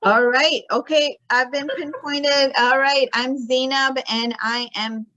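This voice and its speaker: A woman's voice: high voice, fairly even intonation, takes it slowly, pushed and bright, no room sound, dull audio. A woman in her thirties hosting an online community session over a video call, hesitant and full of fillers. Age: 30s. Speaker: host.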